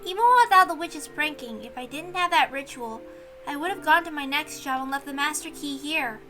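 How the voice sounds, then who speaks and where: A woman's voice: sits high, a little fast, sharp tone. A woman voicing an earnest, stubborn young servant girl in a visual novel read-aloud, determined and defiant. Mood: grudging. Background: music.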